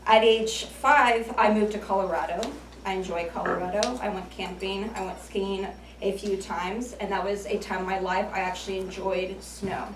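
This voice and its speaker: A woman's voice: mid-range voice, fairly even intonation, moderate pace, a little hiss or hum. A woman in her late twenties giving a prepared, self-deprecating speech with light jokes at a speaking club. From United States. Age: 20s. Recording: echoey room from far off.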